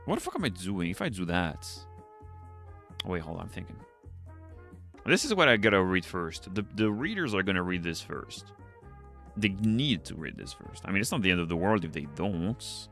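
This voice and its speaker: A man with a deep voice, English not his first language, chatting casually on a livestream as he draws, rambling, muttering to himself and swearing now and then. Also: very animated, normal speed, fairly bright tone, faint music behind. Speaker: streamer. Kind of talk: casual livestream chat while drawing.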